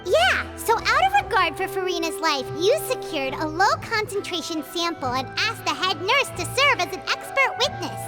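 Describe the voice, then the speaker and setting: A woman voicing a small, childlike fairy companion in a video game, blunt and excitable, with music behind her. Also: very high-pitched, normal speed, hardly any pauses. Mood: earnest. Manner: excitable, talks in third person. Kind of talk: cartoonish video game acting.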